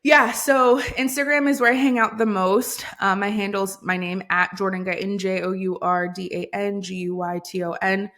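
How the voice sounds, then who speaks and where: Brief: woman from Hawaii, guest on a podcast, upbeat and chatty, mid pitch. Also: flat delivery, fairly bright tone. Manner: upbeat, fast-talking with fillers. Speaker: podcast guest, business coach. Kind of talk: casual interview chat.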